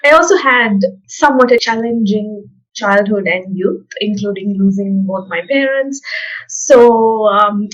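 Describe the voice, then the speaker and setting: A woman from Sri Lanka, a podcast guest telling her story in a thoughtful, soft-spoken way with fillers. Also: a little high, dull audio. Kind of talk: casual interview chat.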